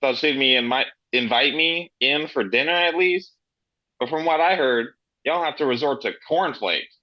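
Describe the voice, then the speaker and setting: A man's voice: medium pitch, moderate pace, no room sound, natural voice. A man reading the part of a brash, foul-mouthed grown man with crude, swaggering banter in an amateur table read. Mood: mocking. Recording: slightly dull lo-fi.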